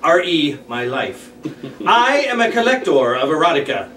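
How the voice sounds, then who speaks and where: A man's voice: on the high side, very animated, fairly bright tone. Man playing a pompous collector, roomy recording. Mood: haughty. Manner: halting, formal, haughty. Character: pompous, prim male erotica collector with a cane. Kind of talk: table read of a script. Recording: roomy, distant mic, faint noise.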